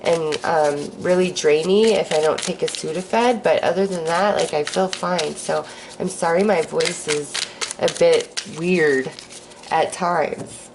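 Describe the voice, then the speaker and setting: A woman with a hoarse voice from a cold, giving a casual, upbeat astrology forecast while pulling tarot cards. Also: low voice, takes it slowly, noisy background. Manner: breezy and upbeat, with sighs and fillers. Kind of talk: casual spiritual forecast with card pulls.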